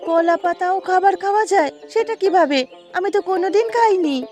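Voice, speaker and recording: A woman's voice: very high voice, fairly quick, darkish tone. A woman voicing an eager, childlike young daughter-in-law from the city in a cartoon. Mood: curious, amazed. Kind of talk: Bengali cartoon fairy-tale voice acting. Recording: music under the voice.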